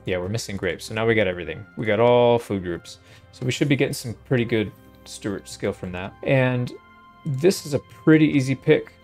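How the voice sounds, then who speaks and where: A man's voice: mid-range voice, brisk, a little mellow, soft background music. A man giving casual gameplay commentary, thinking aloud in a laid-back way as he hosts a playthrough. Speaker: host of a gaming playthrough.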